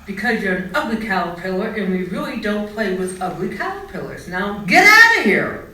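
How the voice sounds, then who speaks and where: Woman as snobbish little storybook animals, bright, echoey. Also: medium pitch, very animated, normal speed. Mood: scornful. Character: snobbish playground-bully small animals, rabbits and mice. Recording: echoey room, distant mic, faint noise.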